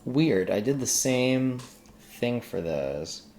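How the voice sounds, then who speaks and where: A man giving a casual coding walkthrough, thinking aloud in a halting way as he works. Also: mid-range voice, big pitch swings, a little mellow, slight background noise. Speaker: tutorial host, accountant who codes.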